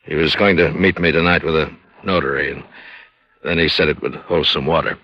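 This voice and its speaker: Very deep, husky cornered tough guy. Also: fairly even intonation, a little fast, dry recording. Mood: grim, confiding. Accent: American. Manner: gruff, plain-spoken. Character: cornered, remorseful tough male syndicate informant in hiding. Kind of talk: 1950s American radio drama acting. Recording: muffled old radio recording.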